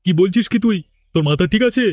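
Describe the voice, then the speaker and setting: A man's voice: high voice, fast talker, a little mellow. A man voicing a plain-spoken, fretful and scolding village man in a horror cartoon. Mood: puzzled.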